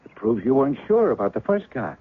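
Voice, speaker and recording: A man's voice: medium pitch, very animated, quick pace, husky. An actor playing a guarded, suspicious man who claims to be a woman's ex-husband, in a 1970s radio drama. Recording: tinny old radio broadcast.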